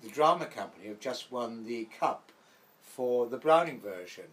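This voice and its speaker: A man's voice: medium pitch, lively intonation, moderate pace, fairly bright tone. An older man from Wales tells his stories in an interview, rambling and anecdotal, slipping into the voices of the people he quotes. His light Welsh accent colors an everyday mid-range voice. A faint hiss sits under the recording. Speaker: actor guest. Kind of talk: reminiscing interview.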